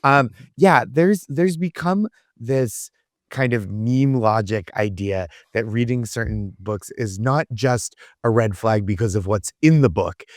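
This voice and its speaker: A man's voice: mid-range voice, a little slow, no echo, studio quality. A man from the United States giving casual comedic commentary as a podcast guest, riffing in a wry, conversational way.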